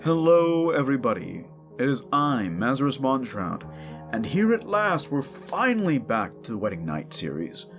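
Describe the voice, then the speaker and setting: A man talks to his listeners as the creator of an audio roleplay channel. His delivery is informal and digressive, a loose channel update with instrumental music clearly playing behind him. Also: medium pitch, very animated, normal speed, fairly bright tone. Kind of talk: casual rambling channel update.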